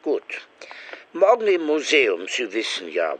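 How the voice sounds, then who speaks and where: Slow, husky, haughty lawyer. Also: male voice, medium pitch, wildly swooping pitch. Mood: sly, amused. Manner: measured, condescending. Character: haughty, sly, cultured male lawyer. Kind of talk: German radio crime drama acting.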